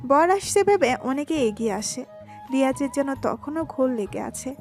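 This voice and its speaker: A woman's voice: sits high, animated, normal speed. A woman reading scripted narration for a romantic story. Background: music.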